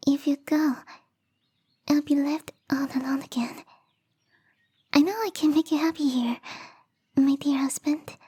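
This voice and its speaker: A woman voicing a lonely, bubbly young female snow spirit, soft and whispery, in a sweet, pleading ASMR roleplay. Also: high-pitched.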